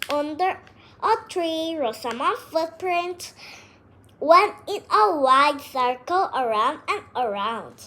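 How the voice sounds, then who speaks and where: Slow, high-pitched child reading a story aloud. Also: sharp tone.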